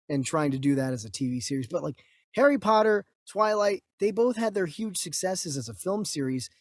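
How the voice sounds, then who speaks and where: A man's voice: high-pitched, no echo, clean studio sound. A man co-hosting a casual movie news discussion, giving opinions emphatically and repeating himself to drive points home. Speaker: movie news podcast co-host.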